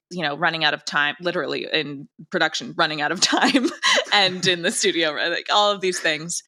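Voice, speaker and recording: Bright, talkative woman being interviewed. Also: on the low side. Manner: talkative, emphatic. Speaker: audio drama director, interview guest. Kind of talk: casual interview chat.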